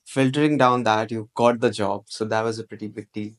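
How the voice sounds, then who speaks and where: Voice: medium pitch, fairly even intonation, fairly quick. Encouraging interview host. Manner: encouraging, recaps the guest's points. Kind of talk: interview questions.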